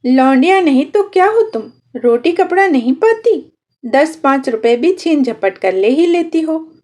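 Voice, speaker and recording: A woman's voice: high-pitched, expressive, measured pace, darkish tone. A woman voicing a sardonic village housewife who teases and needles, in a storybook reading. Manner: teasing, sarcastic.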